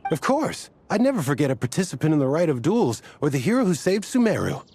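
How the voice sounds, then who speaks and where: A man's voice: a little high, sing-song, fast talker, warm tone, breathy edge, no echo, full character voice. An actor playing a weary man from a desert order who sighs and grumbles in exasperation. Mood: recounting. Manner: sighing, exasperated. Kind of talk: game cutscene voice acting.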